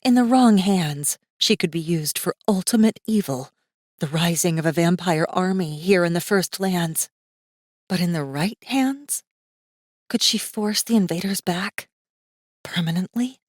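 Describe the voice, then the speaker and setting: A woman narrating a fantasy audiobook in a measured, suspenseful manner with deliberate pauses. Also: fairly low pitch, dry recording, clean studio sound. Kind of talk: scripted dramatic fiction narration.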